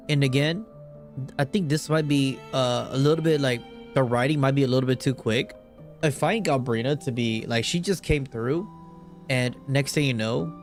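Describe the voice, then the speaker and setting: Guy streaming a game, casual slangy rambling reactions, normal pitch, bit of music in back. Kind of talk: casual live gameplay reaction.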